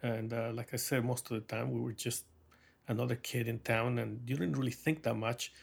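A middle-aged man from Mexico with a Mexican accent, an artist talking thoughtfully in a documentary interview, pausing often with fillers and soft laughs. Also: mid-range voice, steady tone, creaky, slightly rough.

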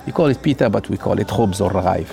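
A man's voice: sits low, quick pace, vocal fry. A man in his fifties with a Moroccan accent, a native Arabic speaker, talking casually and playfully as he serves food. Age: 50s. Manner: energetic, joking, with playful asides. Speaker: chef and restaurant host being interviewed. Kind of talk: casual storytelling while serving food.

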